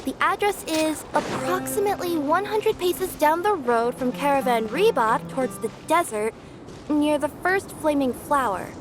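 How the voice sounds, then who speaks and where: A woman's voice: sits high, normal speed. A woman playing a perky young cat-girl courier in a video game, polite and earnest, with music behind her. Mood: helpful. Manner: polite, earnest, animated customer-service talk.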